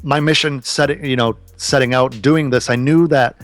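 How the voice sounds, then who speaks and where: A man's voice: mid-range voice, steady tone, normal speed, no echo, faint music behind. A man in his thirties, a podcast guest, talking earnestly and casually about business, swearing now and then. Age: 30s.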